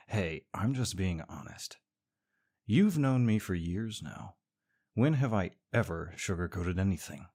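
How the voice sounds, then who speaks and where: A man's voice: low-pitched, very animated, slow, long pauses, a little mellow, husky. A young man performing a scripted audio roleplay as a sarcastic, teasing rival co-worker. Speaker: voice actor playing a smug rival co-worker.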